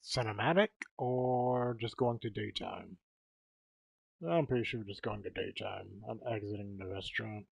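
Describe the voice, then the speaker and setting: Casual male streamer with a creaky voice. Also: on the low side, unhurried, no room sound. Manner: musing aloud, rambling. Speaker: game livestreamer. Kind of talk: casual live gameplay commentary.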